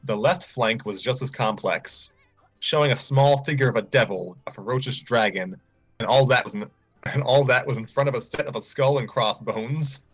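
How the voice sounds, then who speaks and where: A man's voice: mid-range voice, moderate pace, slightly rough, soft background music, low-quality recording. A man narrating a story aloud informally, sometimes stumbling and repeating phrases. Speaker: story reader on a livestream.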